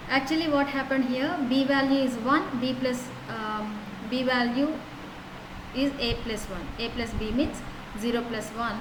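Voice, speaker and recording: A woman teaching a programming lesson, talking through code step by step in a steady, explanatory way and often starting with "so" and "now". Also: high voice. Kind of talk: lecture walking through code. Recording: echoey room with noise.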